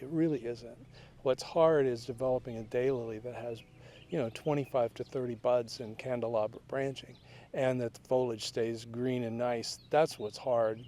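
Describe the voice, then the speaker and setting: Male grower, interview, mellow and plainspoken, outdoors with faint hiss. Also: medium pitch, steady tone, moderate pace. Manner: plainspoken, matter-of-fact explaining. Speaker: daylily grower. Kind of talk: casual explanatory interview answers. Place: outdoor garden.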